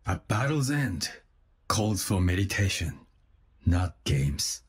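Very deep, solemn ninja. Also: male voice, big pitch swings, husky, exaggerated voice. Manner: measured, terse. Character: solemn, calm cyborg ninja man.

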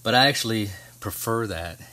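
Low-voiced man, casual halting demo. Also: lively intonation, pushed and bright. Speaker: homestead vlogger. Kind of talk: casual hands-on demonstration. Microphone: handheld camera, speaker behind it. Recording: noisy handheld camera recording.

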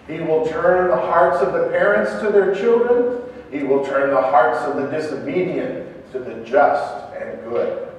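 Man playing a slow, echoing angel messenger. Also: high voice, a little mellow. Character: angel Gabriel, solemn heavenly messenger, stern. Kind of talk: church scripture dramatization. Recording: echoey church from far off, dull, faint noise.

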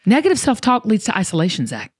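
A woman's voice: medium pitch, wildly swooping pitch, fast talker, slightly rough. A woman with a Southern US accent hosting a motivational talk podcast, emphatic and folksy, repeating phrases to drive them home. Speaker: show host.

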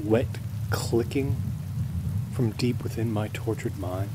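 Wry husband over rain. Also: male voice, on the low side, slow pace. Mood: wry, bored. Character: wry, lazy, affectionate husband. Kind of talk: naturalistic audio drama acting. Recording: loud rain and background noise.

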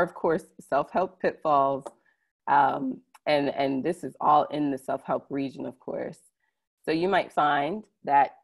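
Female webinar presenter, low register, conversational delivery that speaks directly to the audience. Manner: conversational, directly addressing listeners. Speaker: conference presenter. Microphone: computer mic on a video call.

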